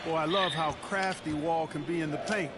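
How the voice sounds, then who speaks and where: A man acting as an enthusiastic basketball analyst in a video game. He speaks in a high voice with chatty, emphatic approval, and the audio is very noisy. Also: steady tone, moderate pace, over a noisy crowd, close to an everyday voice. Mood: approving. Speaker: color commentator.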